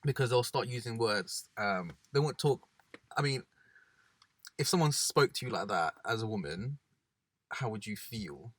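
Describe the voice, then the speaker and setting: Animated man chatting, dry close sound. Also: fairly low pitch, no room sound. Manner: animated, quick storyteller who imitates others. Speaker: podcast co-host. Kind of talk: casual chat. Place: parked car.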